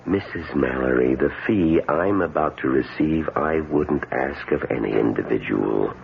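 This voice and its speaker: An actor playing a calculating charlatan doctor and faith healer, with formal, theatrical delivery in old-time radio drama style. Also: male voice, extremely low pitch, a little slow, creaky, slight background noise, full character voice. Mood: haughty, measured. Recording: dull lo-fi old radio recording, loud music.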